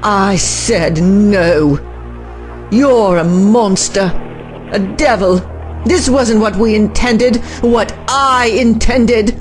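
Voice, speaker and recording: An actor playing a defiant male scientist who turns on his master, bitter and slow-spoken. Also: sits high, faint music behind.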